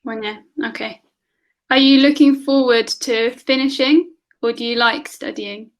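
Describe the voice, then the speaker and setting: A woman with a high, light voice chats as an online tutor over a video call. She fires off question after question and laughs often. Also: fairly even intonation, a little slow. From England. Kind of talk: casual conversation lesson.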